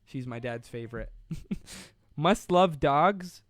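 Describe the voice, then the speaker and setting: A young man with a slightly high voice chats playfully and casually, rambling and laughing often as he hosts a live stream. The sound is dry and close, with no room echo. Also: animated, slow pace. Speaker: host of a live online show.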